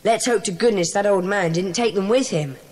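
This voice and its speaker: A woman's voice: mid-range voice, a little fast, darkish tone, lightly acted, low-quality recording. A woman playing a bossy, cheerful schoolboy who leads a secret society in a children's audio drama, earnest and decisive. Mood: urgent, decisive.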